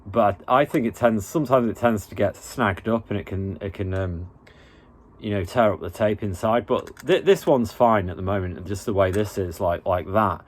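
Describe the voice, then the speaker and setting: Low-voiced man giving an informal tutorial. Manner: laid-back, halting, colloquial. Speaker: tutorial host.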